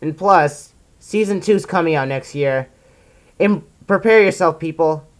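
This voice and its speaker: A person giving casual, opinionated commentary as a video reviewer, in an exasperated, emphatic ranting manner with drawn-out stressed words. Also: mid-range voice. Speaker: video reviewer presenting a countdown list.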